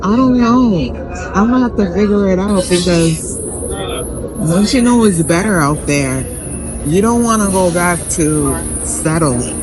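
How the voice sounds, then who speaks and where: Unhurried woman vlogger over prominent music. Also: lowish voice, lively intonation, low-quality recording. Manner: blunt, slangy, profane. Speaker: travel vlogger and podcast host. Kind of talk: casual travel vlog commentary.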